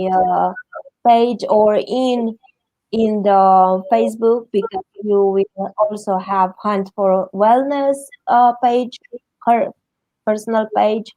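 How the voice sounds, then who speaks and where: A middle-aged woman from Romania with a Romanian accent, answering interview questions haltingly as she searches for words in English. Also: mid-range voice, warm tone, dry recording, low-quality recording. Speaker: interview guest.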